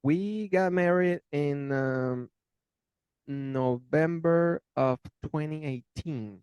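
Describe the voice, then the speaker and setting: A man's voice: a little high, extremely slow, a little mellow, clear, resonant tone, no room sound, muffled recording. A man in his thirties from Venezuela, speaking English with a Venezuelan Spanish accent, answering interview questions in a friendly way and often repeating words as he searches for phrasing. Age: 30s. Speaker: interviewee, channel host.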